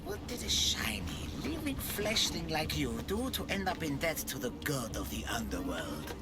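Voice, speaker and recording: A man's voice: on the high side, wildly swooping pitch, moderate pace, very noisy. A man voicing a grumpy, overworked undead ferryman in a video game, exasperated and sarcastic. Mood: grumpy, dismissive. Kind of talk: video game cutscene acting. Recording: loud music under the voice.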